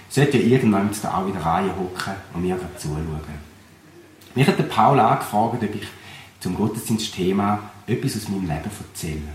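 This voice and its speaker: Man, Thurgau Swiss German accent, pastor, earnest testimony, lowish voice, roomy sound. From Switzerland. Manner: earnest, reflective, measured. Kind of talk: personal testimony. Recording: roomy recording with faint background noise.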